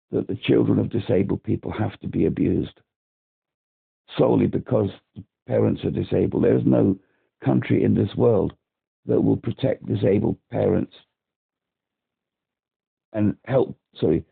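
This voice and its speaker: Male British caller on a phone line, very gravelly and husky, agitated and rambling. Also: lowish voice, moderate pace, long pauses. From Britain. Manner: rambling, agitated, repeats himself. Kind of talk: phone call to a helpline. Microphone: telephone.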